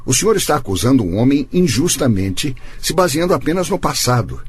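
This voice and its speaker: A man's voice: medium pitch, very animated, fairly quick, natural voice. An actor playing a confident, courteous male defence lawyer in a radio drama, speaking formally and with assurance. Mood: firm, arguing.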